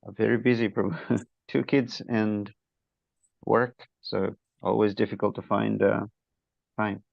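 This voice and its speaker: A man from Nepal talking on a video call, his voice low, gravelly and husky, in a flat tone. He speaks hesitantly with fillers. The audio is dry and muffled. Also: unhurried, no echo. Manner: hesitant, fillers, soft laughs. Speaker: student. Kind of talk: casual chat with teacher.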